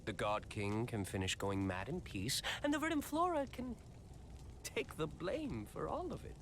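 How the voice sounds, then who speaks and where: An actor voicing a cynical, self-serving royal knight in a dramatic video game, with a smooth-talking, sardonic and insinuating manner. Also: male voice, mid-range voice, very animated, normal speed, background noise. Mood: cold, scheming. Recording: loud dramatic music behind voice.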